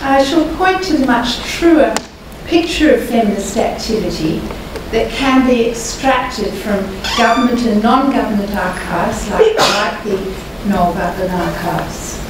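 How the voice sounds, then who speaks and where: Older Australian woman, croaky voice, slow and deliberate lecture, very echoey hall, far from the mic. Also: a little high, background noise. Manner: measured and deliberate, with frequent hesitations. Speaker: guest lecturer. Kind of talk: formal public lecture.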